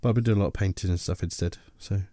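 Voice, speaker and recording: A man's voice: low voice, fairly even intonation, rapid-fire, warm tone, a little hiss or hum. A man co-hosting a podcast, telling anecdotes in a jokey, casual way with informal British slang. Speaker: podcast co-host.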